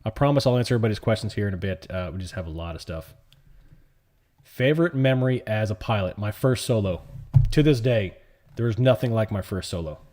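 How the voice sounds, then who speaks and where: Guy running a live trading stream, kinda low warm voice, just rambling and answering chat. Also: animated, fairly quick. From born in New York City, raised in the US South. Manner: rambling, conversational, answers chat questions as they come in. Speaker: livestream host and options trader.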